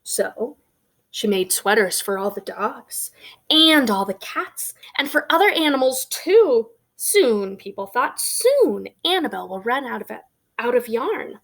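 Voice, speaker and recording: Woman, children's storyteller, slightly high voice, very animated intonation, emphatic pauses, conversational read-aloud. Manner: expressive, with emphatic pauses on key words. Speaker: storyteller reading a picture book to children.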